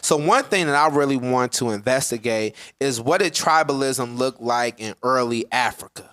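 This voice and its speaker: A man's voice: medium pitch, normal speed. A man co-hosting a podcast, explaining ideas step by step and checking in with "right?". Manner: explanatory, ends points with "right?", builds to loud emphasis. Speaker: podcast co-host. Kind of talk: casual podcast discussion.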